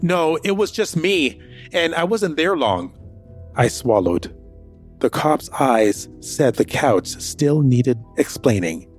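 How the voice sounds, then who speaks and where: A man's voice: a little high, expressive, takes it slowly, breathy edge, faint music behind, exaggerated voice. An actor narrating as a nervous man hiding his sick brother, hesitant and anxious in his dialogue. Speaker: first-person narrator. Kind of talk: audio drama narration.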